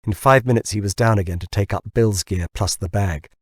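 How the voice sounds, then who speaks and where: A man's voice: fairly low pitch, fairly quick, husky, no room sound. A man narrating scripted fiction as an audiobook reader.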